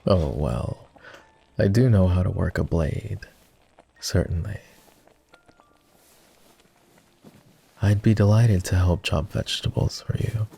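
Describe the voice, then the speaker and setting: A man plays a courteous vampire with a very low, gravelly voice. He speaks in formal, measured phrases, slowly and softly, as in an intimate ASMR roleplay. He is gently teasing and modest about himself. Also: exaggerated voice. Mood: warm, admiring. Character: polite, self-deprecating, gently teasing ancient male vampire.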